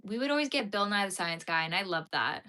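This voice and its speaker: A woman's voice: medium pitch, animated, fairly quick, sharp tone. A young Canadian woman with a Canadian accent, chatting casually on a livestream, answering viewers' comments while she crochets.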